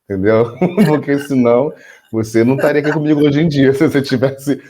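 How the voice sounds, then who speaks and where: Man, medium pitch, teasing co-host, laughs a lot, casual history chat. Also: steady tone, a little fast, noisy background.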